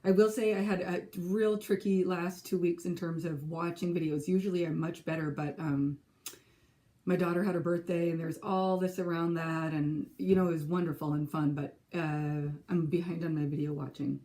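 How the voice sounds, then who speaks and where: A woman's voice: fairly low pitch, steady tone, moderate pace, mellow. A woman in her forties with a Minnesotan accent, a teacher chatting casually in a rambling, self-correcting way for her vlog. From California. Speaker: second grade teacher and stitching vlogger.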